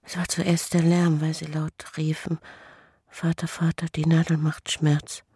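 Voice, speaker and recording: An actress playing a troubled woman haunted by experiments, in an intimate, breathy, halting radio drama monologue. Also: low voice, flat delivery, dry recording, studio quality, exaggerated voice. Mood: tense, recounting. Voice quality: breathy, whispery. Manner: slow, hushed, halting delivery. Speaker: actor.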